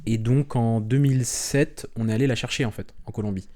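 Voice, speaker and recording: A young French man chatting openly as an interview guest, relaxed and rambling, with frequent restarts and fillers. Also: medium pitch, a little fast. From France. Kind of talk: casual conversational interview.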